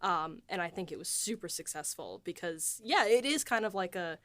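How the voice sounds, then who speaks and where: A woman's voice: on the low side, expressive, normal speed, fairly bright tone. A woman co-hosting a podcast, chatting with enthusiasm and frequent restarts. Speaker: podcast co-host and producer. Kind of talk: casual chat.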